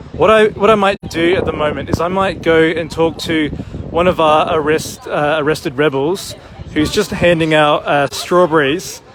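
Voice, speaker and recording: A man's voice: on the high side, background noise. A man hosting a protest livestream outdoors, talking casually with lots of fillers. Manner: relaxed, rambling with many fillers. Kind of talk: casual on-the-spot talk. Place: outdoor city square. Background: live music nearby.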